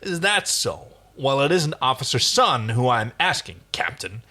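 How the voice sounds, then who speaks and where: A man voicing a manic, taunting male astronaut hero with a loud, sing-song, mocking delivery. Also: mid-range voice, very animated, measured pace, heavily acted. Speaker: fan fiction reader.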